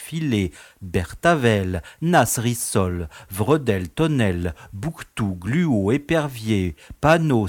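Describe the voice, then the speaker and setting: A genial, long-winded prison director in an audio drama. He speaks very slowly with animated swoops, pompously relishing each word, in a dry, close recording. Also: mid-range voice, no echo. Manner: pompous, savoring long lists. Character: genial, wordy male prison director, fond of his prisoner. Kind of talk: French audio drama acting.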